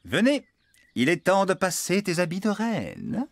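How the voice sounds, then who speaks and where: An actor voicing an excitable, bossy but kindly farmhand in a French children's cartoon dub. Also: male voice, high-pitched, measured pace, very noisy, exaggerated voice. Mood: excited, eager. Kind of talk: animated children's cartoon dubbing.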